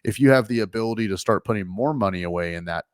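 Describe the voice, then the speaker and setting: A man's voice: fairly low pitch, fast. A middle-aged man hosting a finance talk show, explaining things casually with many fillers and restarts. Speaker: financial advisor and show host.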